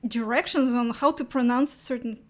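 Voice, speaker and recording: A woman's voice: a little high, steady tone, a little fast. A woman interviewing someone in casual conversation, attentive and reacting with short acknowledgements. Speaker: interviewer, host.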